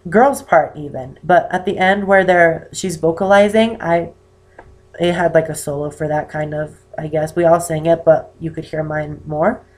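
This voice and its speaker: An eighteen-year-old man with an unusually high-pitched voice and damaged vocal cords, talking casually to camera and rambling with many ums. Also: fairly even intonation, moderate pace. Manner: rambling, with many "um"s and self-corrections. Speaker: vlogger. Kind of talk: casual personal talk to camera. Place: indoor room with poor lighting.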